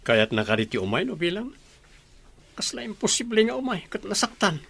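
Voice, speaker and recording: An actor playing a sceptical but sympathetic confidant in a radio drama. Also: male voice, high-pitched, big pitch swings, brisk, gravelly, a bit bright, husky, full character voice.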